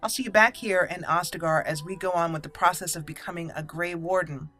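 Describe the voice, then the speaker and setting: Low-pitched woman thinking aloud. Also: fairly even intonation, a little fast, bright, forceful tone. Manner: musing, talks through choices to herself. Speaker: video game let's play host. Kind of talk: casual gameplay commentary, thinking aloud.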